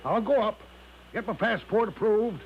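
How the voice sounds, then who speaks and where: High-voiced, cajoling old swindler. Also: male voice, moderate pace. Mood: brisk coaxing. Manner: cajoling, folksy, grandly confident. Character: breezy, smooth-talking, eccentric older American con man. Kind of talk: 1970s radio drama acting. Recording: dull lo-fi 1970s radio, faint noise.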